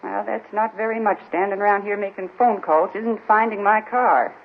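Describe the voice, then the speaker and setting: A woman's voice: mid-range voice, fairly even intonation, moderate pace, close to an everyday voice. A woman in a 1950s radio drama playing a fussy, indignant unmarried woman who reports her stolen car and scolds the police. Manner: huffy, scolding, easily offended. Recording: tinny lo-fi old radio broadcast with hiss.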